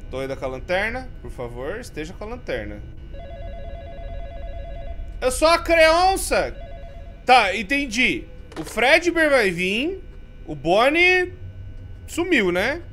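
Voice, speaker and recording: A Brazilian man in his thirties, a streamer giving jumpy commentary as he plays a horror game, swinging from mumbles to yells. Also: extremely high pitch, big pitch swings, slow pace, long pauses, faint music behind. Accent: Brazilian Portuguese. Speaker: gaming streamer. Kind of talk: let's play commentary. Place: home streaming room with colored lights.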